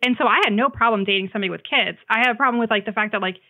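A woman chatting casually as a podcast guest, telling stories in an animated way and slipping into quoted dialogue. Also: fairly high pitch, racing. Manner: animated retelling with acted-out quotes, frequent "like". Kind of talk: casual chat, storytelling. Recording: muffled, phone-like band-limited sound.

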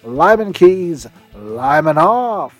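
A man with a slightly high voice hosts a hype-filled podcast promo. He is an emphatic, excitable pitchman who hammers on repeated phrases. Light music plays behind him. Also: drawn-out words, no echo. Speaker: podcast host.

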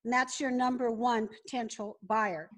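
A woman with a somewhat high-pitched voice speaks as a podcast guest. She gives business advice conversationally, going through it in an instructive, methodical manner. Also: steady tone, a little slow.

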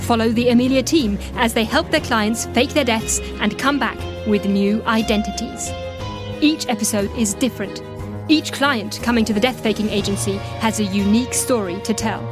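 A woman narrating a scripted podcast promo in an inviting, playful way. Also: on the high side. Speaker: promo announcer.

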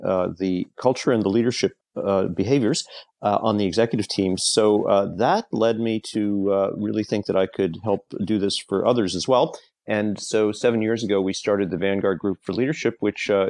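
A middle-aged man with a very low, gravelly voice, answering interview questions for a podcast. He talks in a measured way and often pauses on "uh". The sound is dry and close. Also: darkish tone, no echo. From Europe. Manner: measured, frequent "uh" fillers. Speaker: leadership consultant, guest.

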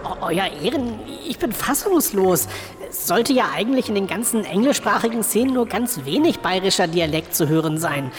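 A defensive, stammering male scriptwriter under cross-examination, as a woman performs him. The pitch leaps around with every excuse. The voice hangs in a long hall reverb while light music plays underneath. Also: expressive, normal speed, close to an everyday voice. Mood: flustered. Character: flustered male radio-play writer on trial. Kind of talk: comedy sketch acting.

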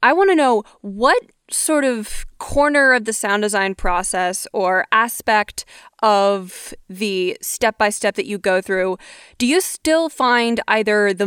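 A woman hosts a podcast interview with a very smooth, clear voice. She asks her questions in a measured, thoughtful way. The sound is dry and close. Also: medium pitch, a bit bright, no echo.

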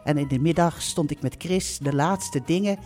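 An actress playing a bossy, warm-hearted middle-aged mother who runs a holiday park, in a chatty, theatrical live audio drama style. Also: low voice, normal speed, close to an everyday voice. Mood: narrating, content. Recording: live theatre recording with music.

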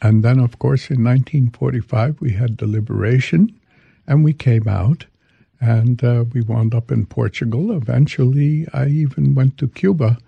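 An elderly man in his eighties from Hungary reminisces as an interview guest. His voice is creaky and raspy, with a halting, hesitant delivery. The sound is slightly dull and dry. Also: mid-range voice, no room sound. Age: 80s. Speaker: interview guest, veteran commodities exchange trader.

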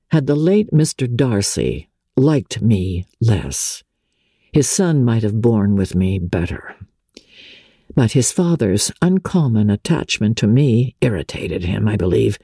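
A woman voicing a wronged, genteel young gentleman officer in a dramatic storybook reading, measured, plaintive and confiding. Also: rumbling low, husky, no echo, clean studio sound, heavily acted. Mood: restrained bitterness.

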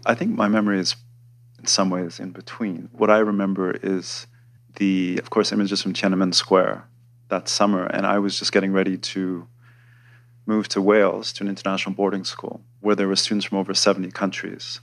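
A man's voice: sits low, little pitch movement, fairly quick. A man in his forties, a politics professor on a panel, recalling memories thoughtfully. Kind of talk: reflective panel talk.

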